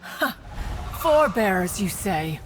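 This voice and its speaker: An actress voicing a haughty, sharp-tongued female immortal in a video game, with archaic formal phrasing. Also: medium pitch, sing-song, slow, noisy background. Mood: scornful. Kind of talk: scripted game voice acting. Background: game music.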